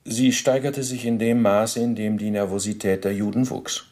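A man acts out a former SS officer giving testimony in a radio drama. The voice is low, and the wording is stiff officialese. He justifies himself in pedantic detail and stays formally deferential to his interrogator. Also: steady tone, moderate pace, a little mellow, close to an everyday voice. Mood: officious, explanatory. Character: male former SS officer under interrogation, self-justifying bureaucrat. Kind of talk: radio play, testimony monologue.

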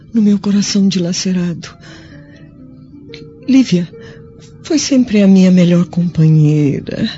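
A mellow-voiced, dying Roman widow speaks very slowly in a Brazilian accent, solemn, tender and full of remorse. It is formal radio drama over music, with a slightly dull recording. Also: female voice, medium pitch. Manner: slow, formal, sorrowful, theatrical. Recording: dull lo-fi audio with music behind.

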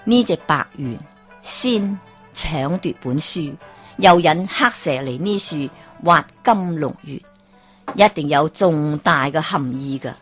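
Stern old male sage in a storybook tale, read by a woman, low and unhurried. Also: expressive, soft background music. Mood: solemn.

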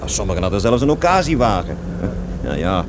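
Low-voiced, aggrieved rambling man, noisy recording. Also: sing-song, brisk. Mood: grumbling. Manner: digressive, self-correcting, repeats words emphatically. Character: aggrieved, rambling man recalling his overbearing father. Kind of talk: radio play dialogue, conversational storytelling.

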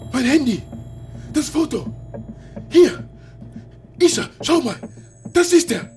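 A man's voice: extremely high pitch, sing-song, slow, darkish tone, soft background music, full character voice. An actor playing a hunted, agitated young man in a radio drama, speaking in short clipped phrases. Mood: excited, urgent. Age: young adult. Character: hunted young Ghanaian man living illegally, wary and agitated. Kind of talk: naturalistic radio drama acting.

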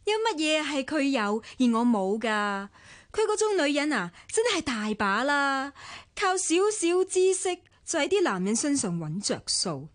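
A sharp-tongued young Hong Kong Cantonese woman in a radio drama, sarcastic and lecturing. Her high voice swings up and down. The sound is close and dry. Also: very animated, measured pace, dry recording. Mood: scornful. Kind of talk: 1990s radio drama acting.

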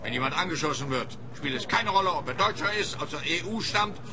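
A fairly high male voice amid busy crowd sound. An actor plays a radio-play police investigator, pompous and sarcastic, drawing out his words to needle. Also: animated, fairly quick, natural voice. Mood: smug needling. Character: sardonic, condescending police investigator needling a detective. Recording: busy crowd ambience behind.

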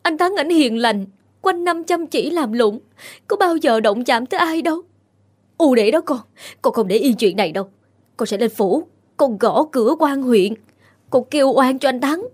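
A woman voicing a loyal, fierce young village woman who speaks in rapid, indignant bursts in an audio story. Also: high-pitched, expressive. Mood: furious.

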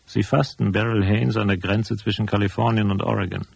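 A hardboiled private detective for a German radio play, in a deep voice with a husky, creaky edge. He is laconic and stubborn, laying things out in a dry, matter-of-fact way. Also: flat delivery, fairly quick. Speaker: voice actor and first-person narrator. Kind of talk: German radio drama, dialogue and narration.

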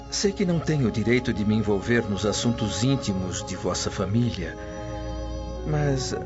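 A man playing an earnest Roman slave secretary in a radio drama, deferential, careful and formal. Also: fairly low pitch. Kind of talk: Brazilian radio drama acting. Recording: muffled radio drama with music.

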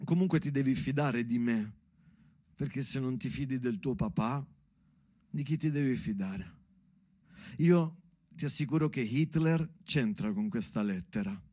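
A man's voice: medium pitch, lively intonation, measured pace, pauses often, warm tone, no echo. A man playing a father who reads a rambling, ironic letter to his young daughter as a colloquial, rhythmic stage monologue. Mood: tender, hesitant. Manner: sing-song delivery, colloquial and ironic. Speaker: stage actor and writer performing a monologue. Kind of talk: theatrical monologue, rhythmic spoken word.

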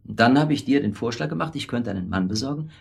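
A man playing a weak middle-aged husband in a radio drama, confessing in a hesitant, rambling, self-justifying way with a husky voice. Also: medium pitch, animated, fast. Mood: defensive, confessing. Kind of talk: naturalistic German radio drama acting.

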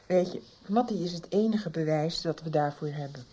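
A woman's voice: low voice, normal speed, dark tone, breathy edge. A woman playing an earnest, determined woman in a Dutch radio soap, speaking firmly.

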